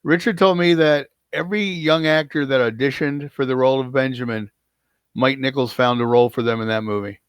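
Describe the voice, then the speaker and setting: A man's voice: mid-range voice, fairly even intonation, brisk, clean tone, no room sound. A man co-hosting a video call chat, eager and tripping over his words as he talks. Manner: eager, stumbles and repeats words.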